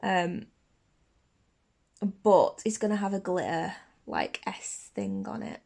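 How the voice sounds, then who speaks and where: A woman's voice: fairly low pitch, sing-song, slow, gravelly, a little mellow. Girl chatting through a nail vlog, bit sniffly from a cold, keeps restarting her sentences. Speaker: beauty YouTuber. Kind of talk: casual nail tutorial vlog. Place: bedroom filming set, indoors.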